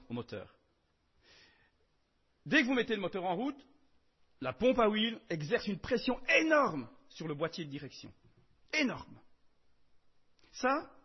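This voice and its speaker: A man preaching a sermon in French, emphatic, swinging between loud declarations and soft asides. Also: fairly high pitch, breathy edge, muffled recording.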